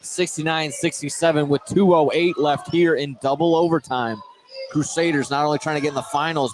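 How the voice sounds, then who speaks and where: A man doing live play-by-play commentary of a high school basketball game from inside a gym. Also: background noise. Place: indoor gymnasium commentary position.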